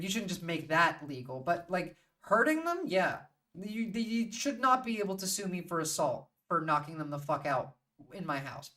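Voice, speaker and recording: A woman's voice: on the low side, fairly bright tone. Blunt, sweary woman streamer, slightly distant mic. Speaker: livestream host. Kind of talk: casual opinionated livestream chat.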